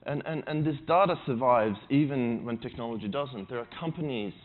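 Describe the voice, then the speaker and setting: A man's voice: medium pitch, a little fast. Swedish-accented male conference speaker, chatty and self-deprecating, thin lo-fi stage recording. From Sweden. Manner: conversational and self-deprecating, frequent restarts. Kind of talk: tech conference talk.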